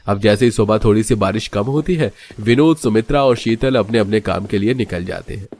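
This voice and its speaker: A man's voice: lowish voice, a little fast, a little mellow. A man narrating a scripted cartoon story as its storyteller.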